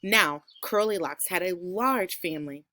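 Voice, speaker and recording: A woman teacher reading a storybook aloud to children in an enthusiastic, expressive way. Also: lowish voice, unhurried.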